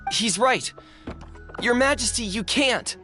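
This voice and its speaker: A man's voice: mid-range voice, expressive, measured pace, lightly acted. A man playing an earnest, deferential young citizen of a fantasy city in a video game. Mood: alarmed. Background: music.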